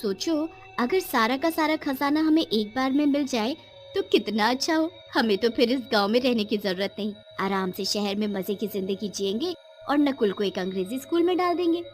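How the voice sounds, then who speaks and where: A scheming village wife in a cartoon tale, put on by a woman narrator. She speaks high and emphatically, with theatrical greed, over faint music. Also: animated, normal speed, natural voice. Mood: greedy, scheming. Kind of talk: animated storytelling.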